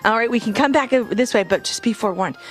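A woman's voice: fairly high pitch, fast, faint music behind. A woman in her thirties reporting live for a morning show, bubbly and gushing, on a handheld microphone inside a theater. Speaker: TV field reporter. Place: indoor theater stage and backstage.

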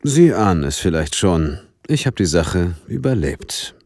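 An actor playing a dry, wry police detective in a radio play. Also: male voice, low voice, sing-song, unhurried, darkish tone, no room sound, soft background music, exaggerated voice. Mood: wry, calm. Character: wry English police detective narrating his case. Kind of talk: radio play acting and scripted narration.

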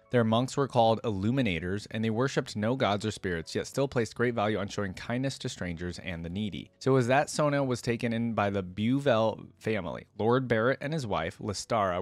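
A man's voice: fairly low pitch, no room sound. A young man giving casual reaction commentary and reading lore aloud, close on a desk microphone. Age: young adult. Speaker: reaction video creator. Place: indoor room at a desk.

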